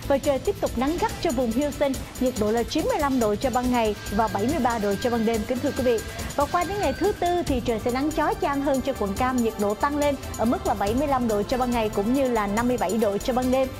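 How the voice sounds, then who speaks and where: A woman presents the weather politely in a studio. She talks quickly in a fairly high voice. Music plays clearly behind her. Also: expressive. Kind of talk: polite semi-scripted forecast presenting. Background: background music.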